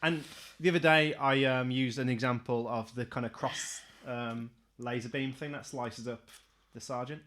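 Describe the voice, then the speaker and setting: A man's voice: medium pitch, fairly even intonation, normal speed, a bit bright. A man chatting casually as a podcast host. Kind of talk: casual film chat.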